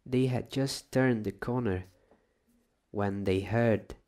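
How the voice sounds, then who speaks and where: A soft-spoken man reading a novel as an ASMR bedtime story in a hushed, deliberate, dramatised way. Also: lowish voice.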